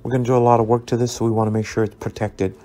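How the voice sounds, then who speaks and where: A man narrating a scale model build as a relaxed tutorial, easygoing and full of ums and uhs as he recommends each step. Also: mid-range voice, very fast. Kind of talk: relaxed instructional voice-over. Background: soft background music.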